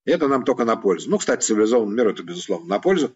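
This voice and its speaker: A man's voice: lowish voice, big pitch swings, very fast, darkish tone, slightly rough, highs cut off. A man from Ukraine, a political analyst, giving mocking, sarcastic commentary.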